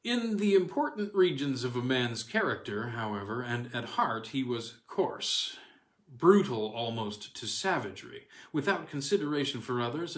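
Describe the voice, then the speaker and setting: A roomy, echoing recording of a man reading an old weird story. His pitch sits in the middle for a man. He phrases with measured drama, leaving deliberate pauses as an audiobook narrator. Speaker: audiobook reader. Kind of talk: scripted narration of a weird tale.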